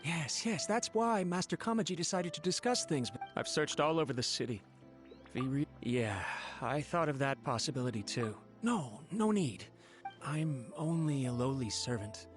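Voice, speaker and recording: Lowly loyal servant played by a man: youngish, higher male pitch, worried and deferential, game music clearly underneath. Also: expressive, normal speed, close to an everyday voice. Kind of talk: scripted video game dialogue.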